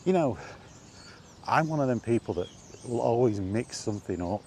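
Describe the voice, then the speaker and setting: A middle-aged man in a casual, rambling vlog chat, speaking conversationally with halting pauses while out walking. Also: mid-range voice, animated, a little mellow. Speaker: gaming vlogger. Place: outdoors, walking. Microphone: microphone with wind guard. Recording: windy outdoor recording, background noise.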